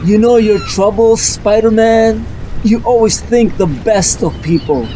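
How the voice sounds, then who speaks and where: A man's voice: high voice, measured pace, mellow, a little hiss or hum, rough recording. A man voicing a scheming male supervillain who taunts and sneers. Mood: gloating.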